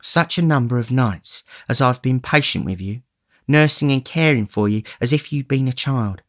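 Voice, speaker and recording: Man doing a worn-out young woman, soft, pleading, tender, raised voice over a gravelly base. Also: mid-range voice, normal speed, voice pushed up.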